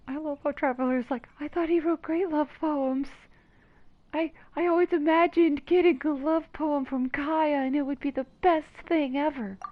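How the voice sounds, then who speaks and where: A woman's voice: high-pitched, fairly even intonation, unhurried, no room sound, highs cut off. A woman giving casual, playful and informal reactive commentary while playing a video game. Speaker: gamer commentating on a playthrough. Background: game music.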